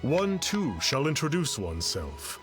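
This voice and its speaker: An actor playing a pompous, grandiose self-styled immortal master in a video game, speaking in a formal, archaic, theatrical manner. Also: male voice, a little high, huge pitch swings, a little slow. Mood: grand, self-important. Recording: game music behind the voice.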